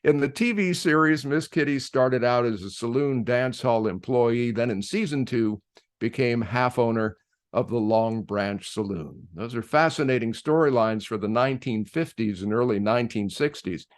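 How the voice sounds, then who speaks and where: A man hosting, reading a scripted introduction to a radio play in a measured, emphatic announcer-like way. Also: mid-range voice.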